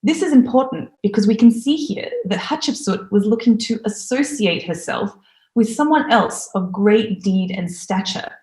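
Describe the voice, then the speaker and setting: A woman in her thirties gives a recorded talk from a home room, speaking at a middle pitch with plenty of eagerness. Her voice rings a little in the room around the webcam microphone, so the sound is roomy rather than close. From Australia. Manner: enthusiastic, lively presenter. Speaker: conference speaker.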